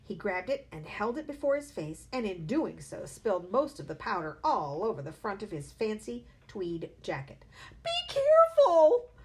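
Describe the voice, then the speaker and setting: Animated woman reading a storybook. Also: on the low side, moderate pace, few pauses. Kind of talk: reading a children's book aloud.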